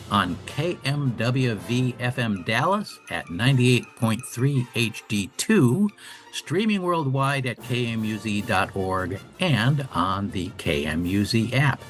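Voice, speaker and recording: A man hosting a radio show, interviewing guests and reading announcements. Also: mid-range voice.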